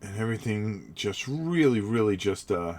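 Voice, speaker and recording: A man's voice: on the low side, animated, moderate pace, a little mellow. A middle-aged man with a cold, chatting to his livestream viewers as he paints, rambling and repeating words. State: sniffly from a cold. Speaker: hobby livestream host. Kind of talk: casual livestream narration while painting.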